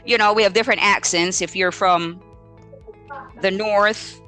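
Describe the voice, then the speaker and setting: A woman's voice: mid-range voice, fairly even intonation, a little slow, sharp tone, faint music behind. A woman with a Filipino accent giving informal live teaching advice, thinking aloud and searching for words as she explains with spoken examples. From Philippines. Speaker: English teacher hosting a live Q&A.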